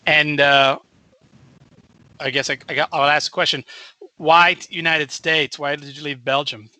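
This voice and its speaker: A man hosting a student radio show, talking in a loose, rambling way and correcting himself as he goes. His voice is very bright and forward. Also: on the high side, level tone, a little slow, no room sound, low-quality recording. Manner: chatty, rambling, self-correcting. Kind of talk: casual radio interview.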